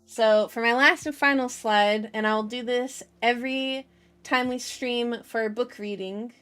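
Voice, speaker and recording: A woman's voice: on the high side, steady tone, unhurried, fairly bright tone, clear, resonant tone. A woman hosting a livestream in casual chat, with a playful, childlike way of phrasing things and a habit of repeating herself. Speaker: VTuber livestream host. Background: background music.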